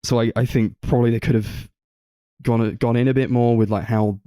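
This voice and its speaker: A man's voice: medium pitch, steady tone, fairly quick, dark tone, clear, resonant tone, no room sound. A young man in casual film discussion, talking in a hesitant, hedging way as a podcast co-host.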